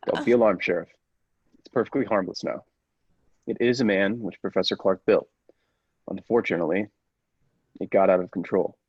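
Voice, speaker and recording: A man's voice: low voice, steady tone, moderate pace, pauses often, husky, no echo, highs cut off. A man playing a persuasive, controlling scientist in an old-style radio play, with a commanding, insistent manner. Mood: placating. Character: persuasive, controlling male laboratory scientist with dangerous ambitions.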